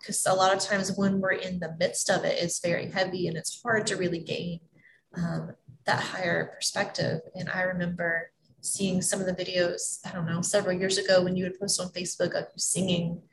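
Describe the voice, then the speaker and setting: Gravelly low-voiced woman podcast host. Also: flat delivery. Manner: gentle, reflective, drawn-out phrasing. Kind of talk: warm conversational interview. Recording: noisy room recording.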